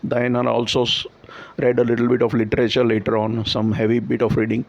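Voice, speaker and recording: A man's voice: mid-range voice, completely flat delivery, a little fast. A man with a Gujarati-influenced Indian English accent, Gujarati his first language, talking thoughtfully and explaining with examples and small self-corrections. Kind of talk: reflective conversational talk.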